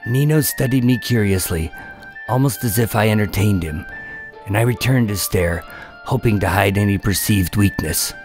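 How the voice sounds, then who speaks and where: A man playing an undercover agent in a radio drama, telling his story with deadpan, wry tension. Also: on the low side, moderate pace, music in the background, lightly acted. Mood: tense, wry. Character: wry, nervous undercover agent posing as mob enforcer. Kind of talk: first-person noir radio drama narration and dialogue.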